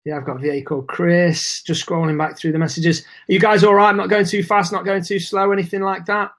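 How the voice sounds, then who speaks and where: A man's voice: sits high, fairly even intonation, a little fast, fairly bright tone. Chatty man, losing his voice. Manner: chatty, informal, reads and answers chat messages. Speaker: webinar host. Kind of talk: live webinar presentation.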